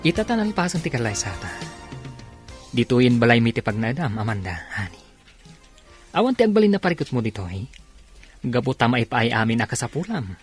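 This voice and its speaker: An actor playing a domineering young husband in a radio drama, curt and husky, with an Ilocano Filipino accent. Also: male voice, medium pitch, big pitch swings, fairly quick, long pauses, faint music behind, lightly acted. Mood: polite, assured.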